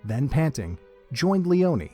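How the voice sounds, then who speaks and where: A man narrating an audio drama in an expressive storybook manner. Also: on the high side, takes it slowly, husky. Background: faint music.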